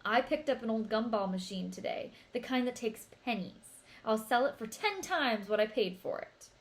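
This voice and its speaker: A woman's voice: a little high, animated, moderate pace. Woman reading as a playful, boastful teenage big brother. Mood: excited. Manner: playful, bragging, emphatic.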